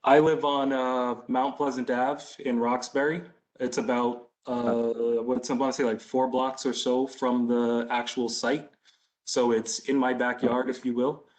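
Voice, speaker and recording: A man's voice: mid-range voice, level tone, a little slow, poor audio. An American man in his thirties answering questions at a community meeting in a conversational, explanatory way. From Boston, United States. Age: 30s. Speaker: license applicant, business owner.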